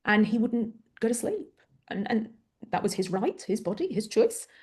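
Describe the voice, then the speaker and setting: Candid, animated woman with an English accent. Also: on the high side, moderate pace, husky. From Greece. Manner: candid, animated, self-deprecating storytelling. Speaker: parent coach guest. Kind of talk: conversational interview.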